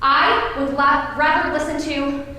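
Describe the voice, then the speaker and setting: A young woman acting a blunt, sullen, stubborn teenage girl in a high school stage play. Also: fairly even intonation, a little slow, bright, forceful tone. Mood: scornful. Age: teenage or young adult. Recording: echoey stage, distant camera, faint noise.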